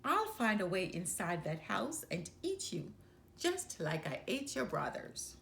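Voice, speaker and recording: A hungry storybook wolf as a woman reader puts him on, menacing and boastful. The taunts are growled and drawn out at a slow pace, with big swings in pitch and a gravelly creak. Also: sing-song, fairly bright tone, slight background noise, exaggerated voice. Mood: angry menace.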